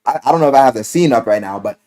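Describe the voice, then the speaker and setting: A man telling a story casually in slang and swearing, as an animated video game reviewer who acts out what people say. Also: mid-range voice, rapid-fire. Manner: animated storyteller, acting out quoted lines.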